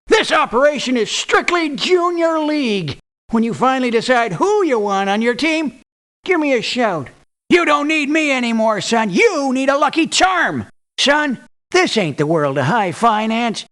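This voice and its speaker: Crusty old war veteran, very slow. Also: male voice, very high-pitched, a bit bright, exaggerated voice. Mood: dismissive, grumbling. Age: older. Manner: patronizing, folksy, drawn-out delivery. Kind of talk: video game character voice lines.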